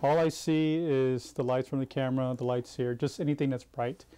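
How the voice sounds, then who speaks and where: A man's voice: mid-range voice, steady tone, normal speed, warm tone, smooth. A man speaking informally and reflectively as an interviewee. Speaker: interviewee, human resources manager.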